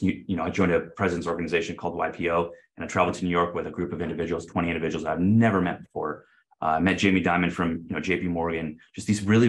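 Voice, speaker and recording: A man in his thirties, a company chief guesting on an interview show, speaking earnestly with many fillers and restarts. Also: low-pitched. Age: 30s. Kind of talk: casual interview talk.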